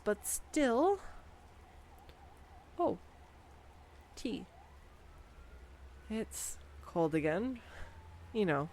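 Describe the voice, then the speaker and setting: Slow, bubbly woman gushing over facts. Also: fairly low pitch, darkish tone, slight background noise. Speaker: audio roleplay voice actress. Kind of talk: scripted girlfriend roleplay monologue. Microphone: studio condenser mic.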